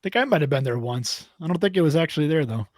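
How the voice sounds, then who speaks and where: A man's voice: a little high, lively intonation, quick pace. A man hosting a podcast, enthusiastic and joking, laughing often. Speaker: podcast host. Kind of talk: casual chat.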